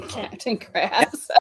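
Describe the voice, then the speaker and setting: A woman's voice: sits high, huge pitch swings, very slow, fairly bright tone. A middle-aged woman, hosting a casual live stream and chatting with viewers while laughing often.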